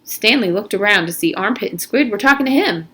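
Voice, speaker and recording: A woman reading a children's story aloud in an expressive, animated narrating style. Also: medium pitch, a little fast. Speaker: reader of a children's novel aloud. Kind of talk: read-aloud story narration with character voices.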